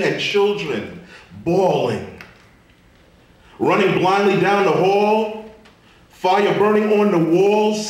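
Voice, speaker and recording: A man performing spoken word poetry with a theatrical, emphatic delivery that swells and drops to a hush. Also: on the high side. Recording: echoey room from far off, faint noise.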